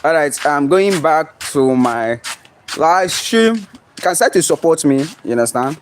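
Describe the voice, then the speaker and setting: A young man with a Nigerian English accent chats through a livestream on his phone, walking around outside his home. His voice sits a little high for a man, and a faint hiss of noise runs under it. He reads out viewers' comments and answers them, often checking "you understand?". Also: expressive, measured pace. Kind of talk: casual livestream chat. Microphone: phone camera, flipped to show surroundings.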